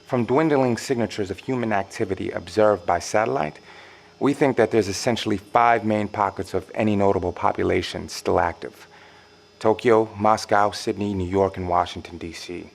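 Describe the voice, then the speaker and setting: An actor playing a secretive, composed insider in an audio drama, speaking in a measured, formal, wry and condescending way. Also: male voice, sits low, vocal fry. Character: secretive, composed male insider warning survivors of monsters.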